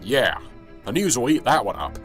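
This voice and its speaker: A man acts a gruff, stressed police sergeant in a radio drama. He is blunt and sarcastic, with lively shifts in pitch over music. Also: moderate pace, natural voice. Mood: sarcastic, exasperated.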